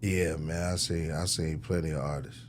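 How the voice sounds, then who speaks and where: A man's voice: very deep voice, steady tone, moderate pace, slight background noise. A man talking casually as a record executive in an interview, emphatic and slangy, repeating phrases for effect. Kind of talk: casual, animated interview talk.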